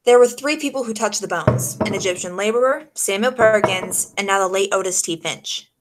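A woman's voice: medium pitch, moderate pace, background noise, close to an everyday voice. A woman playing a wry, skeptical female private detective in the style of a 1940s radio drama. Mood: probing. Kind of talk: 1940s old-time radio detective acting. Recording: music behind the voice.

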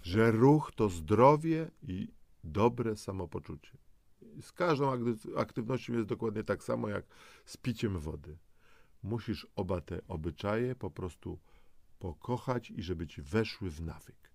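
Low, creaky-voiced man explaining things. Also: animated, measured pace, darkish tone, dry recording. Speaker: co-host of a health audio programme. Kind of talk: conversational explainer addressed to the listener.

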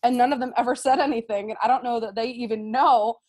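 A woman's voice: on the high side, fairly even intonation, fast talker, darkish tone. A young American woman, a sixth grade teacher, speaking as an interview guest in an earnest, explanatory way. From United States. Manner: earnest, explanatory, frequent "um" and "right?". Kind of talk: conversational interview.

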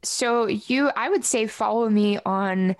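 A woman with a low voice chatting casually and informally as a musician guest in an interview, rambling as she goes. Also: slow, dry recording.

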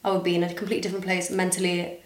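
A woman's voice: fairly low pitch, monotone, very fast. Chatty woman with an international school accent. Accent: international school, partly American. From Dubai. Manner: chatty, giggly, trailing fillers. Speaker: podcast guest. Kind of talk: casual chat. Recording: echoey room.

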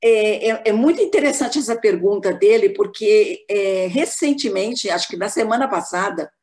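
A Brazilian woman giving a formal, measured academic talk as a panelist on an online call. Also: mid-range voice, animated, darkish tone.

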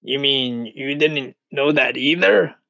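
A man's voice: lowish voice, steady tone, normal speed, bright, forceful tone, no room sound, poor audio. A man voicing a rude male centipede in a storybook, a brash, mocking tease. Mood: scornful.

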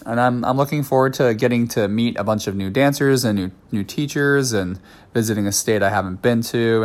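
A man hosting his own podcast, talking casually and candidly while he has a cold, with lots of fillers and quick asides. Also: fairly low pitch. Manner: chatty, candid, many fillers and self-asides. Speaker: podcast host. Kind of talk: casual solo podcast talk.

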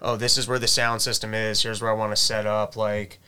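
A man's voice: little pitch movement, brisk, sharp tone, clean tone. A man in casual chat about recording gear, rambling and correcting himself as he goes. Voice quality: says his voice is high-pitched. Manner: rambling, self-correcting, technical. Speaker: comedian recording his shows.

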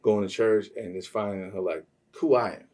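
A man from Trinidad speaks in a low, very mellow voice, sitting somewhat back from the microphone. He rambles through casual interview answers with slang and loose swearing. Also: steady tone, normal speed, distant mic. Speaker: podcast guest, music producer and songwriter.

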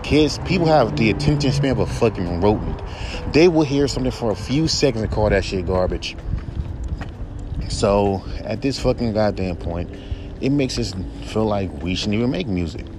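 A young man with a droll baritone voice, ranting bluntly and profanely on his solo podcast. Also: noisy background. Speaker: podcast host and rapper.

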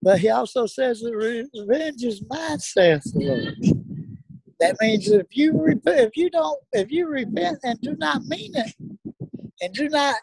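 A man's voice: very high-pitched, vocal fry, a little mellow, slight background noise, low-quality recording. A middle-aged man, speaking earnestly and emphatically as a guest. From South Dallas, Texas. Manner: earnest, emphatic, plain-spoken. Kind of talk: casual interview talk, preaching.

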